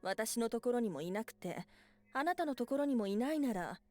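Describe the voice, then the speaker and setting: Haughty female fox spirit for an anime-style game, played by a woman, brisk delivery, cold and dismissive scolding over music. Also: a little high, animated, darkish tone, lightly acted. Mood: haughty, teasing. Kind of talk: Japanese visual novel voice acting.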